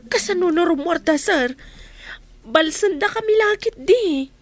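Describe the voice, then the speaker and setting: Woman acting an anxious, high-voiced girl. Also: lively intonation, unhurried, dry recording. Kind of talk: audio drama acting.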